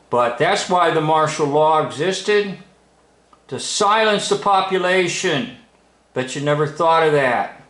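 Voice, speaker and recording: A man giving informal, unscripted commentary as a video host, speaking in halting, broken phrases with emphatic stresses. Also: mid-range voice, a bit bright. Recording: roomy, echoing room sound.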